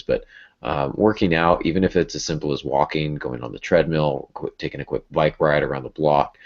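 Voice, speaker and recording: A man hosting a casual podcast, talking in a rambling, hesitant way with a gently joking touch. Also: a bass voice, creaky. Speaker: podcast host. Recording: dull, lo-fi podcast audio.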